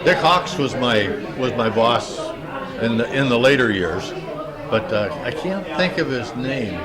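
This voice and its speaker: An older man answering interview questions in a casual, halting way as he searches his memory. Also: medium pitch, animated. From upstate New York, United States. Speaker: interviewee, retired plant's former engineer. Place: indoor social gathering. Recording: busy room with crowd chatter.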